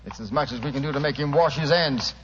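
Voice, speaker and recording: An actor playing a genial, plain-spoken British police inspector in an old radio drama. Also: male voice, medium pitch, quick pace, natural voice. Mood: genial, blunt. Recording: muffled, noisy old radio broadcast.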